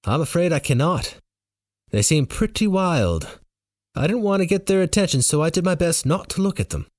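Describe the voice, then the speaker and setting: A man's voice: a little high, lively intonation, moderate pace, a little mellow, no room sound, studio quality, voice pushed up, lightly acted. A man playing a fellow who bluffs politely in a muddled, fake mix of foreign accents, in audiobook dialogue. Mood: polite bluffing. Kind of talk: first-person audiobook dialogue.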